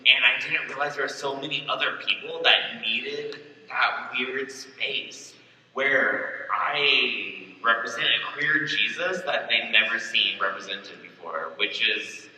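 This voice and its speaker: A man's voice: mid-range voice, takes it slowly, bright, forceful tone, far from the mic, a little hiss or hum. A man speaking candidly as a panelist, joking and rambling, recorded from afar in a convention hall. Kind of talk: panel discussion. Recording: echoey hall, mic from afar.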